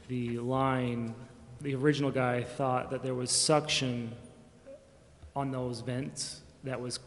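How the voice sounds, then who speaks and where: Flat-toned man explaining in echoey hall. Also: medium pitch. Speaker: staff member reporting to council. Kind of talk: informal explanation at a public meeting. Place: large indoor council chamber. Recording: echoey hall, faint background noise.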